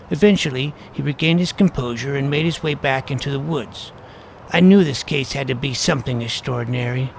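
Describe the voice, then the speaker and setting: A man's voice: a little high, creaky, a little hiss or hum, low-quality recording. A man narrating a scripted first-person story as an earnest boy detective, with mock-serious bravado.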